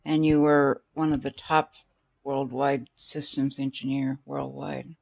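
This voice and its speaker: A woman's voice: rumbling low, little pitch movement, unhurried, gravelly. An older woman in casual chat, asking curious questions and giving short reactions. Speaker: interviewer questioning the other speaker.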